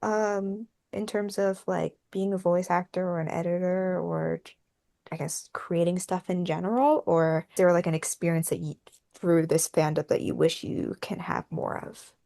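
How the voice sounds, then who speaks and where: A woman's voice: on the low side, little pitch movement, normal speed, creaky, warm tone, no room sound, clean studio sound. A woman hosting a casual podcast chat, talking in a wordy, meandering way peppered with filler phrases. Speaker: podcast host and fandub team member.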